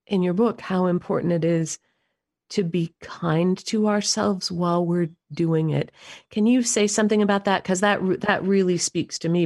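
A woman with a lowish voice hosts a conversational interview, close and dry in sound. She listens attentively and restates points as she asks her questions. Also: gravelly, a little mellow, no echo. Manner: attentive, reflects back what she hears. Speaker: podcast host, interviewer.

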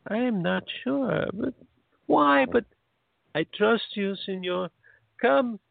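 A man's voice: high-pitched, slow pace, creaky, no room sound, exaggerated voice. A man playing a crafty, wary old settler in a radio play, with a hesitant, haggling manner. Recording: dry, tinny phone-like line.

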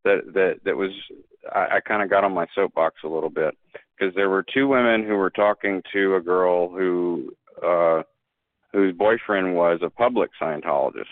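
Low-voiced man on a dry phone line. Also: normal speed, no echo. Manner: earnest and emphatic, with frequent restarts. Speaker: podcast guest. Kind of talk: casual conversation.

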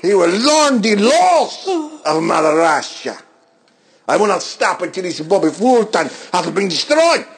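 A man's voice: high-pitched, very animated, a little slow, a little hiss or hum, exaggerated voice. A man voicing a boastful Russian heel wrestler, cutting a menacing promo in a put-on accent with broken English.

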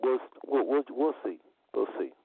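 A man hosting a religious call-in show in a deliberate, repetitive way, his voice slightly under the weather. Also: mid-range voice, lively intonation, husky. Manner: deliberate, repeats phrases for emphasis. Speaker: talk show host. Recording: phone-like internet radio audio.

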